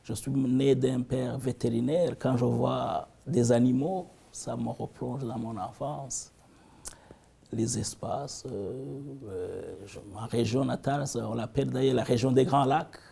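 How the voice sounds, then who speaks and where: A man from the region, answering interview questions thoughtfully, with halting restarts. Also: mid-range voice, steady tone, creaky, slightly rough. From African Great Lakes region. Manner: thoughtful, halting, with frequent restarts and warm asides.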